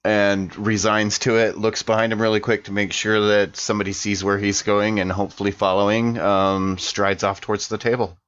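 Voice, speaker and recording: A man with a lowish voice joins in a tabletop roleplaying game, casually talking through his character's actions. The recording of him is a little dull and lo-fi. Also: little pitch movement, moderate pace, few pauses, a bit bright. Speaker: player on a tabletop roleplaying podcast.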